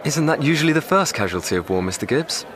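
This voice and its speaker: An actor playing an educated, articulate young English private of the First World War, speaking formally with dry irony in an audio drama. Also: male voice, fairly high pitch, expressive, quick pace, crowd noise, close to an everyday voice. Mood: dry, composed. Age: young adult. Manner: formal, wordy, dryly ironic.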